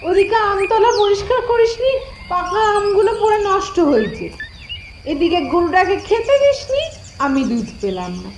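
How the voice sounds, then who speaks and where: A woman's voice: sing-song, normal speed, slight background noise. A woman voicing a bossy, scheming young village elder sister in a cartoon, complaining and scolding in an exaggerated whiny manner. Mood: angry scolding. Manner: shrill complaining, wailing and scolding.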